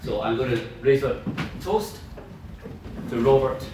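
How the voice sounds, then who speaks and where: A man's voice: mid-range voice, fairly even intonation, a little slow. A man giving an informal after-dinner speech as club president, in an enthusiastic, emphatic manner. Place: large room at a club dinner. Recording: echoey room from far off, noisy.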